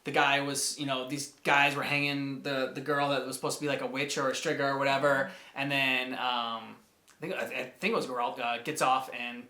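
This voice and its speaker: Halting man in an echoey room. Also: medium pitch, little pitch movement, sharp tone. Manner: halting, rambling, thinks aloud. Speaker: co-host of a game reaction show. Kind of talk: casual chat, reviewing game cutscenes.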